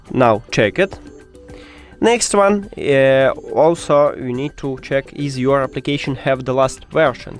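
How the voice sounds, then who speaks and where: A man presenting a step-by-step tech tutorial in non-native English, with dropped articles and small slips in phrasing. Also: medium pitch. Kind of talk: step-by-step how-to narration.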